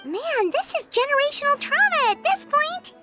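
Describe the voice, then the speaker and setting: A woman voicing a small, chirpy, frank fairy companion with a squeaky childlike voice in a video game. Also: big pitch swings, normal speed. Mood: chirpy, inquisitive. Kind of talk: English video game voice acting. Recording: background music under the voice.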